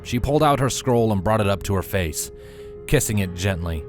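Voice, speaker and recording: A man narrating a scripted story in a dramatic, expressive storytelling manner. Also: fairly low pitch, brisk, music in the background.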